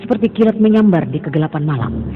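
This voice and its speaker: Solemn male storybook narrator, fairly high voice, tinny phone-like sound, music under. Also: lively intonation, fast talker.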